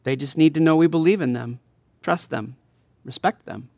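A man's voice: a little high, moderate pace, creaky, a little mellow, dry recording. A man acting as a thoughtful advocate interviewed in an audio drama, explaining earnestly and didactically. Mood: earnest, explanatory. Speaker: interview guest.

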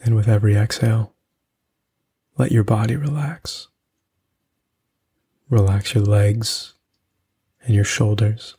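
A man hosting a podcast and leading a guided meditation in a soothing, unhurried way with long pauses. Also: on the low side, dark tone, slightly rough.

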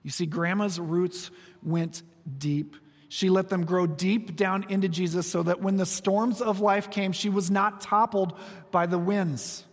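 A man in his forties preaching a sermon, telling stories with humor and moving between soft, slow passages and quick, lively ones. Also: high voice. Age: 40s. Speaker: pastor. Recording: long reverb of a large hall.

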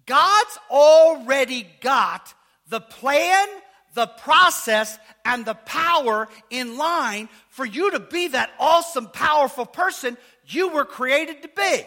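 Pastor, male, unusually high and bright voice, casual and joking, quizzes the congregation, bursts into shouts. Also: takes it slowly. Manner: animated, rising to shouts, asks the congregation questions. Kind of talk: sermon.

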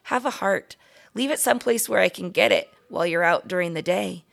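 Woman acting out an eager, cheeky little storybook mouse, gravelly. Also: on the low side, fairly quick, a bit bright. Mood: pleading. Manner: pleading, argumentative, boyish.

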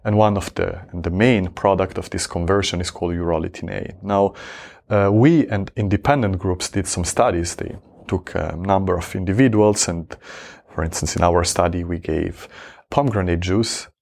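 A man's voice: sits low, moderate pace, gravelly, darkish tone. A man from Switzerland, a researcher answering interview questions, talking hesitantly with many fillers and restarts. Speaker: researcher guest.

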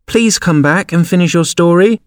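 A man's voice: mid-range voice, lively intonation, normal speed, a little mellow, dry recording, studio quality, pitched above the performer's own voice. A man voicing a polite, earnest little girl in a storybook, eager and humble in manner. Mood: pleading.

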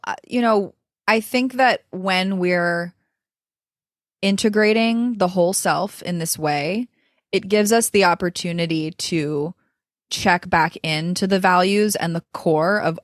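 Woman voice coach, lower voice, dead dry sound, easy reflective flow. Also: no room sound. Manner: thoughtful, flowing, many "like" and "you know" fillers. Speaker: voice coach, guest. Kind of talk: reflective interview talk.

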